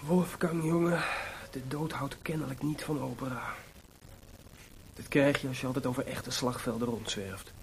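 An actor in a Dutch radio drama playing a proud, debt-ridden composer with a theatrical, sarcastic manner. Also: male voice, medium pitch, fairly even intonation, normal speed, a little hiss or hum, close to an everyday voice, low-quality recording. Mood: brooding, self-mocking. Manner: theatrical, sarcastic, swinging from muttering to shouting.

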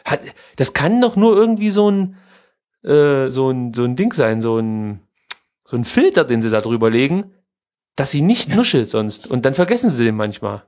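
A man's voice: medium pitch, sing-song, moderate pace, darkish tone. A man co-hosting a podcast, chatting casually and giving his opinions in colloquial language. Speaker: podcast co-host. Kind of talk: casual chat about pro wrestling.

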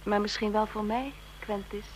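A woman playing a gentle, sympathetic young employee of an intelligence bureau in a radio drama, speaking softly and with some hesitation. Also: medium pitch, steady tone, moderate pace, slight background noise, natural voice, rough recording. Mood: tender, reserved. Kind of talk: Dutch radio drama acting.

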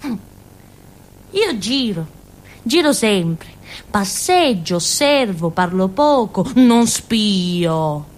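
An actress playing a naive, chatty village fool in a theatrical monologue, with a southern Italian accent and a rambling, giggly way of talking. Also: female voice, medium pitch, big pitch swings, extremely slow. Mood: simple, candid. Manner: rambling, giggly, with frequent interjections and tag questions.

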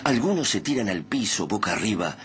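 A man's voice: fairly low pitch, sing-song, a little fast, a bit bright. A man playing the grave narrator of a war story in a radio drama.

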